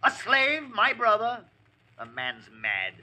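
An actor playing a cruel, haughty knight with contemptuous archaic speech, in an old radio drama. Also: male voice, very high-pitched, wildly swooping pitch, sharp tone, full character voice. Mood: scornful. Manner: contemptuous, drawn-out. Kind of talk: 1940s radio villain acting.

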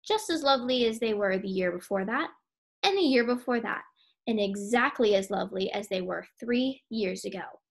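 Woman as a cranky, creaky hare artist. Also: mid-range voice, animated, normal speed. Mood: fed up. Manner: huffy, emphatic, self-important.

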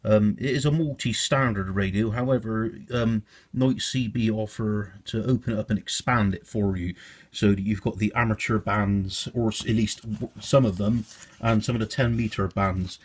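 A man's voice: on the low side, steady tone, normal speed, slightly rough. A man giving an informal, unscripted product walkthrough in a hesitant, explanatory way, like a hobbyist presenter.

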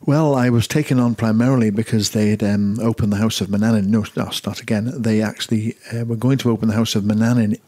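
Male, 60s, from Liverpool, low husky voice, rambling guest in a dry booth. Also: fairly even intonation, fast, hardly any pauses, warm tone, dry recording. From Liverpool, England. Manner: rambling, self-correcting, fond of detail. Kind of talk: relaxed storytelling interview.

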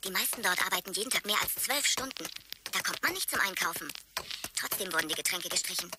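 Audio drama casting: actress as a gossipy rumour-monger, quick-fire, mid-pitched female voice, heavy noise around it. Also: lively intonation, lightly acted. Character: gossiping female online industry tattler. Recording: very noisy, keyboard-like clicks behind.

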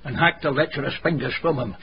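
Actor as a creaky old Scottish village cobbler. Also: male voice, medium pitch, fast, natural voice. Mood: gossipy storytelling. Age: older. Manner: wordy, dialect-rich, pedantic storytelling. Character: venerable Scottish village cobbler, male, learned, gossipy, grave. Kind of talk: radio drama dialogue, storytelling. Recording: muffled radio broadcast, background hiss.

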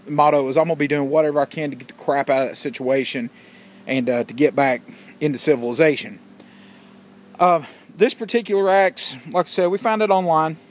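A man giving a casual outdoor gear review, plainspoken and opinionated, often calling his viewers "guys". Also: on the high side. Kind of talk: casual product review vlog.

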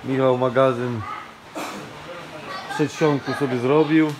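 A man in his thirties talks casually into a handheld phone as he walks around a rainy outdoor building site. His voice sits at an ordinary middle pitch, and he fills his pauses with easy "uh" sounds. A faint wash of outdoor ambience runs under him. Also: noisy background. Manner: relaxed, chatty, frequent filler sounds. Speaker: vlogger. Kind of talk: casual walk-through vlog narration. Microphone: handheld phone camera.

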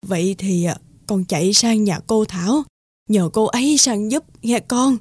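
A woman's voice: medium pitch, unhurried, darkish tone, husky, dry recording, clean studio sound. A woman playing a weary, sick, doting village mother who pleads plaintively in a cartoon folktale. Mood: pleading. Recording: music under the voice.

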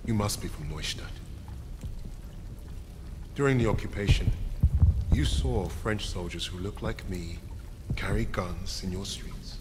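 A man playing a stern, defiant squad leader in a war video game, speaking in a measured, commanding way. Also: sits low, background noise. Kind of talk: cinematic video game acting. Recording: loud film music behind.

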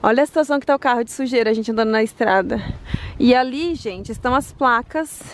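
A woman's voice: a little high, fast talker. A woman in her twenties with a Brazilian Portuguese accent, chatting casually to viewers as a travel vlogger on a handheld camera. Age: 20s. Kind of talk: casual vlog chat to viewers. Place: outdoors by desert roadsides and trails. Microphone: camera at arm's length. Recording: outdoor ambience noise, handheld camera.

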